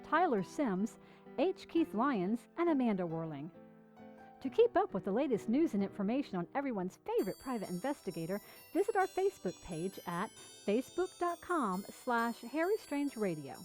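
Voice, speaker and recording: A woman's voice: mid-range voice, a little mellow. A woman announcing the episode credits and contact details of a radio drama.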